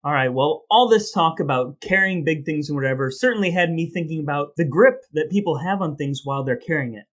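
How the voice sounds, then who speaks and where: A man's voice: fairly high pitch, animated, brisk, hardly any pauses. A man co-hosting a casual comic podcast, playfully spinning absurd ideas in a matter-of-fact way. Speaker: podcast co-host.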